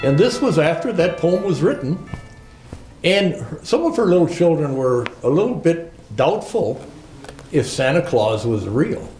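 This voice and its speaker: A man's voice: fairly high pitch, very animated, a little mellow, soft background music. A man playing Santa Claus as a jolly old storyteller, reading Christmas classics to children in a theatrical, lilting manner. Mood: storytelling. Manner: slow, theatrical, lilting recitation. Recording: roomy, echoing indoor sound.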